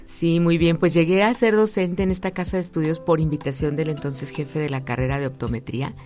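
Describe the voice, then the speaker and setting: A woman's voice: low voice, steady tone, fairly quick, mellow. A woman in her fifties with a Mexican accent, a university lecturer answering conversationally as an interview guest. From Aguascalientes, Mexico. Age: 50s.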